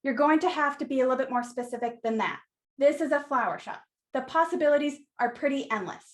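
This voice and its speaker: A woman playing a sassy, blunt, no-nonsense flower shop keeper in a radio play, brisk, wry and teasing in manner. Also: sits high, natural voice. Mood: wry, prodding.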